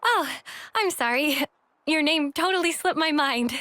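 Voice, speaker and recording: A woman's voice: high-pitched, lively intonation, a little slow, bright, forceful tone, dry recording, exaggerated voice. A woman voicing a shy, self-effacing young female soldier in a video game, hesitant and apologetic. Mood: apologetic, modest. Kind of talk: video game cutscene voice acting. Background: background music.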